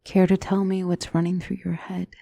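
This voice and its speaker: A woman playing a gentle demonic mentor in an ASMR fantasy roleplay, speaking softly with formal, measured, reassuring diction and often near a whisper. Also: on the low side, level tone, gravelly, no echo. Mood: gentle concern. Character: gentle demonic tutor, female mentor to a young royal.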